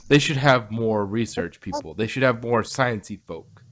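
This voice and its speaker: A man's voice: mid-range voice, animated, moderate pace, fairly bright tone. A man co-hosting a fan review show, chatting casually and jokingly, often acting out imagined quotes as he makes his points. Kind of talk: casual chat reviewing audio drama.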